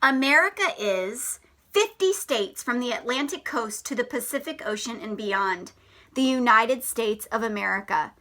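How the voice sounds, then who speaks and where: A woman teacher reading a picture book aloud to children in a deliberate, expressive way, pausing to explain and ask questions. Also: a little high. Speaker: elementary school teacher.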